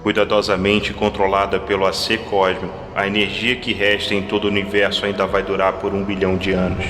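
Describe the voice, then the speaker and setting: A man playing the solemn, questioning collective mind of future humanity, speaking deliberately. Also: low-pitched, noisy background, lightly acted. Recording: long reverberant hall effect, music behind.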